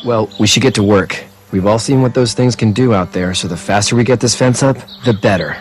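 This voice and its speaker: A low-voiced man playing a young farmhand in a game drama. He comes across as plainspoken and earnest, friendly with an uneasy undertone. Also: brisk, slight background noise, lightly acted. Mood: friendly, businesslike. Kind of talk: naturalistic video game acting.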